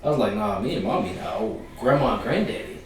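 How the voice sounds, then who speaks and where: A man, hosting a podcast in a relaxed, storytelling manner. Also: fairly low pitch, fast. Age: 30s. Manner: relaxed, storytelling with quoted voices. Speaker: podcast host. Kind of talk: casual chat. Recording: roomy echo, distant mic, faint hiss.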